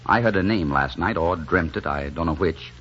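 A man's voice: low-pitched, moderate pace, fairly bright tone, slightly rough. An actor playing a stubborn, intense romantic leading man in a 1940s radio drama. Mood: probing, intense. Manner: intense, insistent. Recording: muffled 1940s radio broadcast.

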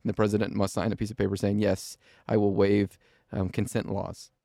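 Low, mellow-voiced male podcast host. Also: level tone, brisk, vocal fry, no echo, studio quality. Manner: earnest, emphatic explaining. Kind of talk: interview podcast.

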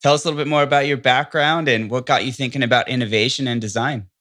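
A man's voice: mid-range voice, steady tone, quick pace, bright, forceful tone, dry recording, studio quality. A man hosting a podcast, introducing his guest and asking questions in an upbeat, friendly way. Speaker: podcast host. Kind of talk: podcast interview.

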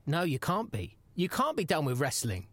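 A man's voice: fairly high pitch, wildly swooping pitch, normal speed, dry recording. An actor playing an exasperated, mock-serious podcast interviewer pressing his wrestler friend in an audio comedy, insistent and pleading. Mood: concerned. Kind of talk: comedy audio drama dialogue.

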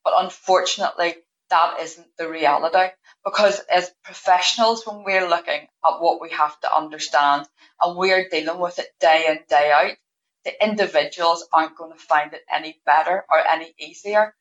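A woman's voice: on the low side, fairly even intonation, moderate pace, fairly bright tone, lo-fi sound. A woman guest in an interview, explaining her views in an earnest, thoughtful way. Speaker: guest payroll manager. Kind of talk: interview answers.

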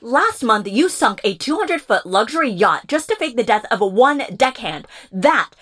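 A woman's voice: a little high, big pitch swings, normal speed. A woman playing a scornful captive agent. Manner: sharp, sarcastic, contemptuous. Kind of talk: audio drama.

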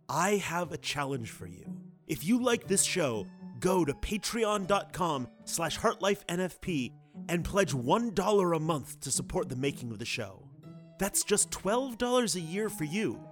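A man reading a scripted podcast announcement as the show's producer, in an earnest, clearly phrased appeal. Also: fairly high pitch. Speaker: executive producer of the show.

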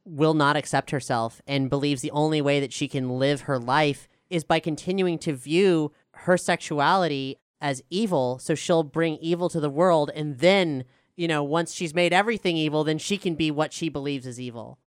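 Smooth-voiced, articulate podcast host. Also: mid-range voice, normal speed, few pauses, no echo, clean studio sound. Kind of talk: casual Q&A discussion.

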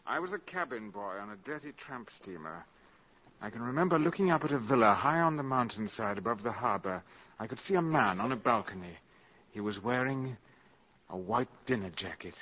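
Cynical, polished wartime spy for old radio play; crisp formal wording, swooping intonation, dulled broadcast sound. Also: male voice, fairly low pitch. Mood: wistful. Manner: urbane, precise, sardonic, formal diction. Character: suave, cynical, mercenary valet turned wartime spy. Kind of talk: 1950s radio drama acting. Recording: dull 1950s radio broadcast recording.

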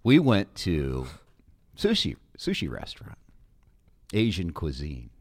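A man's voice: sits low, huge pitch swings, takes it slowly, darkish tone. An American man chatting casually as he hosts an interview, in a jokey manner with chuckles as he talks. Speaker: podcast host, sports broadcaster.

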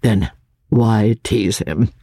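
A man putting on a peevish, self-pitying invalid gentleman. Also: fairly low pitch, very slow, dry recording, heavily acted. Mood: languid, put-upon. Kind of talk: dramatic audiobook reading of classic literature.

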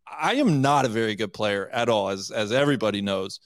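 A man's voice: fairly low pitch, fairly quick, no echo. Dry-sounding male podcast host. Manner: conversational, self-deprecating questions. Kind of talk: casual interview.

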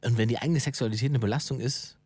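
A man's voice: mid-range voice, steady tone, racing, darkish tone, husky, no room sound. Blunt, casual man in a dry studio sound. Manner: blunt, colloquial, explaining at length. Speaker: podcast co-host. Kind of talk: casual conversational discussion.

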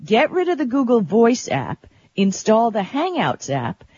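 A woman's voice: on the high side, huge pitch swings, unhurried, a little mellow, husky, highs cut off. A woman co-hosting an internet radio show, talking tech casually in an emphatic, opinionated way.

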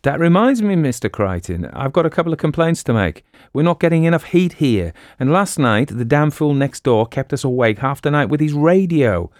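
A man's voice: on the high side, very animated, normal speed, few pauses, no echo, studio quality, voice pushed up. A man voicing a clever, pompous con man in an audiobook, breezy and bossy. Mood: bossy complaining. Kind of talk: audiobook character voice.

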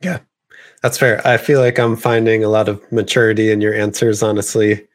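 A man hosts an interview and asks long, casual questions that are full of fillers. His voice sits slightly low, and his tone stays relaxed and conversational. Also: fairly quick.

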